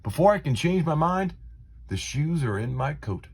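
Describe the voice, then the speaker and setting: A man reading a children's story aloud in an expressive, dramatic way with deliberate phrasing. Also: on the high side, breathy edge. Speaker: storybook reader.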